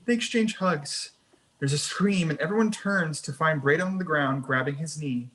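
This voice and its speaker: Medium-pitched narrator reading screenplay directions. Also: normal speed, fairly bright tone. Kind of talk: scripted narration at a screenplay table read.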